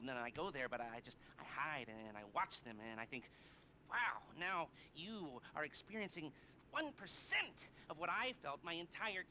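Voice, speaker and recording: An actor playing an awkward, neurotic middle-aged criminal heist planner in a video game, with an American accent and a halting, nervous, wry delivery. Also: male voice, fairly high pitch, bright, forceful tone, a little hiss or hum, low-quality recording. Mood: awkward, confessional. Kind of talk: video game cutscene acting.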